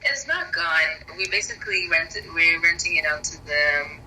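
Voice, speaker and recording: A woman guest on a video call, chatting casually with friends in a lively, teasing way. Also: deep voice, moderate pace, a crowd in the background, poor audio. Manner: lively, teasing, laughs often. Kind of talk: casual group chat on a call.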